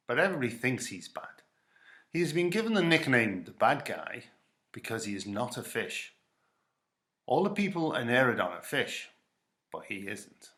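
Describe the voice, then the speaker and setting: A man's voice: medium pitch, fairly bright tone. A man reading a children's story aloud in a dramatic storytelling style. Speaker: story reader.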